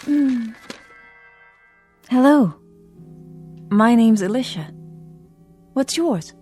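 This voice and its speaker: A gentle android woman with a fairly high voice. She is naive and inquisitive, choosing simple, polite words in a sci-fi radio drama with faint music. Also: animated, drawn-out words, slightly rough, exaggerated voice. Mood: curious, polite. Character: gentle, naive female humanoid robot, newly activated. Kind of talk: science fiction audio drama acting.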